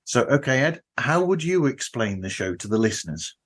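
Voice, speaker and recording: A man hosting a podcast, chatting casually and effusively with halting phrasing. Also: medium pitch, very animated, fairly bright tone. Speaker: podcast host. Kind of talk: casual interview.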